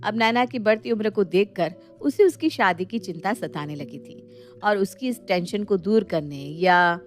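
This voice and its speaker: A woman's voice: medium pitch, lively intonation, measured pace, faint music behind. A woman narrator delivering scripted storytelling for a family moral tale.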